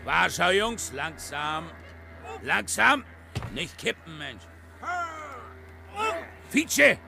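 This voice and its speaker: An actor playing a gruff, bossy dockworker who barks rough, impatient orders at his crew in a radio play. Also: a little high, very animated, very slow, heavily acted.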